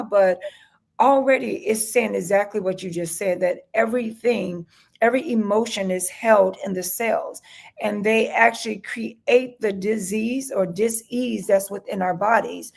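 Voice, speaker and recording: A woman's voice: mid-range voice, moderate pace, vocal fry. A woman speaking as an interview guest, earnest and enthusiastic, often checking in with "right?". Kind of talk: casual interview chat.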